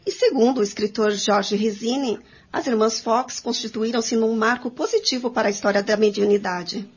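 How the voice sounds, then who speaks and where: A woman's voice: a little high, fairly even intonation, moderate pace, slightly rough. A woman reading scripted narration for a radio drama. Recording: dull lo-fi audio.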